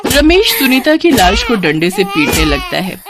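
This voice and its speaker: A woman's voice: mid-range voice, huge pitch swings, measured pace, very noisy. A woman narrating a cartoon story in a scripted, emotive storybook manner.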